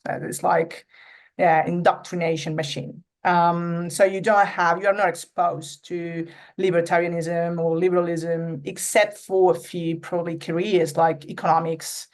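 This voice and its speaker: A woman in her thirties from Argentina with an Argentine accent, a Spanish native speaker, talking as a podcast guest in a casual, earnest way, often pausing with fillers as she searches for words. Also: on the low side, little pitch movement. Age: 30s. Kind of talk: casual interview conversation.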